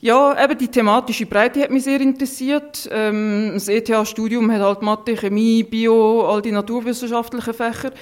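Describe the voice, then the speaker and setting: Podcast guest, woman in her thirties, somewhat high voice, blended Swiss German dialect, thoughtful explanatory delivery. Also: level tone, moderate pace, few pauses. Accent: Swiss German, mixed Lucerne, Thurgau and Zurich. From Switzerland. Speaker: interview guest, food scientist. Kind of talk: casual podcast conversation.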